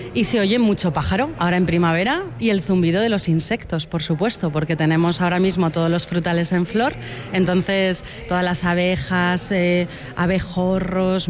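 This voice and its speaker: A woman's voice: fairly low pitch, a little mellow. Interviewee: woman, thoughtful and earnest, keeps checking in with tag questions. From Spain (Huesca, Aragón). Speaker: beekeeper and environmental educator, interviewee. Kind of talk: radio interview answers.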